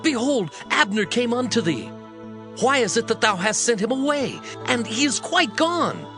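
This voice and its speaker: An indignant army captain in an audio drama. His high voice swings widely as he reproaches, over clear background music. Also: sing-song, moderate pace. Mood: accusing. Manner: accusing, reproachful. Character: angry army captain Joab, confrontational. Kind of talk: dramatized scripture reading. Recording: music bed under voice.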